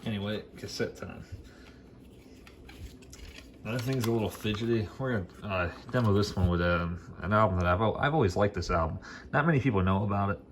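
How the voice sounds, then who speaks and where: A man's voice: fairly low pitch, a little fast, very noisy. A man giving a casual, unscripted demo as a hi-fi hobbyist, rambling along in an informal, enthusiastic way. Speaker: vintage hi-fi hobbyist demonstrating gear.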